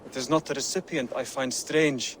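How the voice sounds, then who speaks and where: An actor playing an earnest, thoughtful scholarly assassin who speaks carefully and formally in a game. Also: male voice, medium pitch, steady tone, moderate pace, slight background noise, natural voice. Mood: earnest, intrigued. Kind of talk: game cutscene acting.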